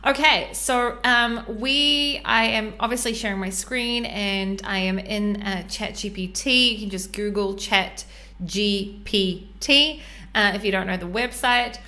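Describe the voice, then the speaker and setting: A woman with a New Zealand accent talks through a live screen-share tutorial in a chatty, step-by-step way, often pausing on ums and uhs. Her voice is bright and clear, sitting at a middle pitch. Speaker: podcast host.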